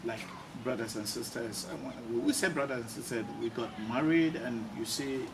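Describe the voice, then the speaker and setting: A woman's voice: very deep voice, normal speed. A woman interviewing casually, often repeating her phrases and murmuring agreement. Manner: repeats phrases, frequent backchannels. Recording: distant mic, loud ambient noise.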